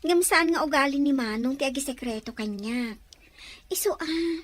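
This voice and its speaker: A woman's voice: high voice, normal speed, natural voice. A woman playing a playful young sister who teases her older brother in an audio drama.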